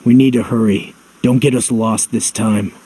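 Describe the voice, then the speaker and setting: An actor playing a gruff, impatient young warrior hero in a video game, curt and sardonic, over music. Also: male voice, lowish voice, steady tone, moderate pace, rough recording. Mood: gruff, resolute. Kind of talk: dramatic video game acting. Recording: loud music under the voice.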